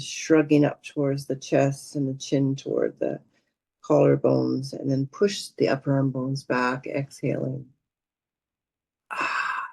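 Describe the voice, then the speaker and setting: A woman leading a guided meditation as a teacher, speaking in a gentle, soothing manner with unhurried pauses. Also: very deep voice, creaky, slightly rough, no room sound.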